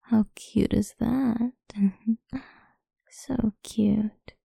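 A woman speaking in a soft, slow, coaxing whisper for a close-mic ASMR roleplay. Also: a little high, steady tone, vocal fry, slightly rough, no echo.

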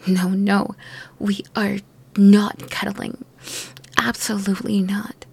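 A young woman in a whispered ASMR girlfriend roleplay, talking softly and affectionately while sick and worn out. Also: mid-range voice, level tone, slow pace, creaky, slightly rough.

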